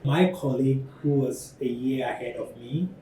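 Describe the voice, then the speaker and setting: Ghanaian-accented man, mellow and reflective. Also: mid-range voice, a little hiss or hum, rough recording. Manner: thoughtful, halting, many "you know" fillers. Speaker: ceramic artist. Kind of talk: reflective studio talk.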